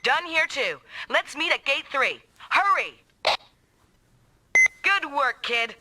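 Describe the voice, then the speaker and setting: An actress voicing a proud, sharp-tongued woman mech pilot in an anime-style video game, bossy, taunting and combative. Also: sits high, sing-song, slow pace, heavy background noise, full character voice. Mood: brisk, commanding. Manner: brash, taunting, commanding.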